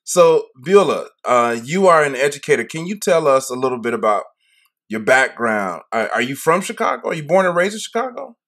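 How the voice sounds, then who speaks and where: A man's voice: medium pitch, big pitch swings, a little fast, a bit bright. A man hosting a podcast interview, upbeat and hyped, often repeating his phrases. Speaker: podcast host. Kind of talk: casual interview chat.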